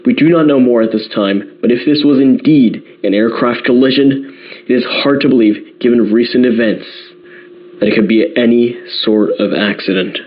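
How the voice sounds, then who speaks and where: A man playing a grave New York radio newsman in an audio drama, delivering breaking news in a measured, careful way. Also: mid-range voice, close to an everyday voice. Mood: grave, shaken. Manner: measured, careful, repeating key details. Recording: slightly dull radio broadcast sound.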